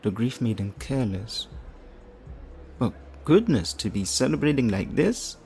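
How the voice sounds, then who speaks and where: A man playing a flirtatious, theatrical supervillain leader in an audio roleplay, smug and righteous, with teasing, drawn-out phrasing. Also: mid-range voice, very animated, a little mellow, faint music behind. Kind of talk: audio roleplay addressed to the listener.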